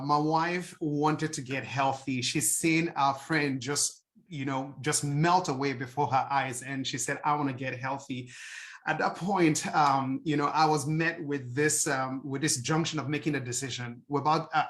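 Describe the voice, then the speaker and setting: A man's voice: medium pitch, fairly bright tone. A man with a Nigerian accent giving an earnest personal testimony as a guest speaker, with frequent fillers and restarts.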